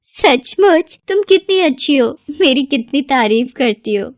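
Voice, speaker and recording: A woman voicing a foolish, eager young housewife in an excitable, chirpy way for a cartoon moral story. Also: high-pitched, unhurried, dry recording, clean studio sound. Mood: delighted.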